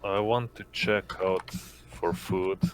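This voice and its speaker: A man's voice: deep voice, steady tone, slow pace, a little hiss or hum. A man playing a tabletop roleplaying game, casually saying what his character does.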